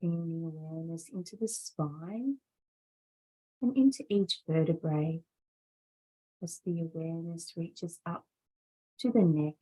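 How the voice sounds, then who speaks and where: Slow, low, mellow woman guiding meditation. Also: husky, rough recording. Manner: calm, soothing, deliberate with long pauses. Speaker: yoga and meditation instructor. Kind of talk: guided meditation instruction.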